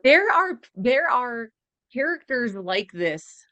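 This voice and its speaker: A woman's voice: fairly high pitch, big pitch swings, takes it slowly, pushed and bright. A woman co-hosting a podcast, giving casual commentary in an informal, emphatic and exasperated way. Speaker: podcast co-host reacting to game cutscenes.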